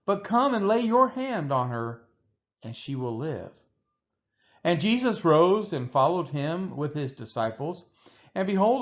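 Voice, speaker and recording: A man reading scripture aloud as narration, with measured, deliberate phrasing. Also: on the high side, dull audio. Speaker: Bible reader.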